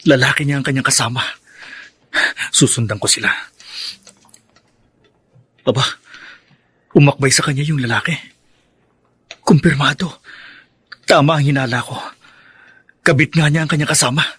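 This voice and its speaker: An actor playing an angry, accusing son in a Filipino radio drama, with a Filipino accent. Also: male voice, medium pitch, normal speed, long pauses, a bit bright, husky, no echo. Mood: suspicious muttering. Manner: seething, accusing. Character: angry eldest son confronting his stepmother.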